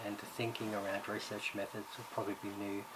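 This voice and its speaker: A man's voice: on the low side, little pitch movement, fast. Hesitant man introducing a university subject. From Ireland, raised in Australia. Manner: hesitant, many fillers and restarts. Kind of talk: recorded course introduction. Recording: background noise.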